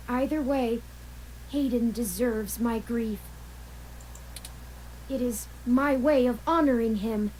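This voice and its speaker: A grieving robot companion, high voice. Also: female voice, darkish tone, a little hiss or hum, rough recording. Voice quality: measured, earnest, precise phrasing. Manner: halting, pained pauses. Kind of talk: video game voice acting.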